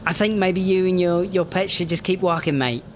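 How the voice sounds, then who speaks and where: A man plays a wary British rapper with a sly streak in a comedic audio drama. The voice sits a little high for a man. Faint ambience runs behind it. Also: normal speed, slight background noise, natural voice. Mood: amused, suspicious.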